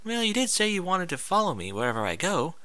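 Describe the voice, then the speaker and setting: Storybook pony princess, male reader: sadistic mentor, gleeful sing-song, animated and piercing. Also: sits high, fast talker, no echo, voice pushed up, exaggerated voice. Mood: mock-sweet, teasing.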